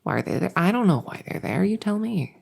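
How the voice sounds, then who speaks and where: A woman playing a friendly, silly monster under the bed in an ASMR roleplay, rambling playfully and softly. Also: mid-range voice, very animated, a little fast, vocal fry, full character voice. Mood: musing, playful. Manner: rambling, playful, soft-spoken.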